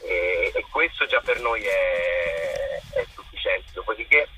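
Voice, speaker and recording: A young man explaining his theatre work in an interview, haltingly, restating phrases as he searches for words. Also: sits low, expressive, sharp tone, slight background noise, rough recording. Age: young adult. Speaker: theatre maker being interviewed.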